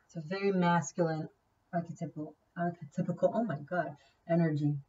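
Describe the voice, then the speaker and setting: A woman's voice: lowish voice, steady tone, a little fast, warm tone, husky, far from the mic, highs cut off. A woman whose first language is Hebrew, giving a casual tarot reading, chatty and thinking aloud, often repeating key words. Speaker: tarot reader.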